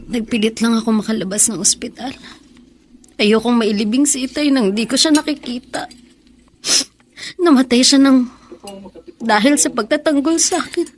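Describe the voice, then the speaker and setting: High-voiced, tearful young Filipina played by an actress. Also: female voice, normal speed, long pauses, a little hiss or hum, natural voice. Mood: grieving. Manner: emotional, tearful. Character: grieving, bitter young woman student, assault survivor. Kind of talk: Filipino radio drama acting.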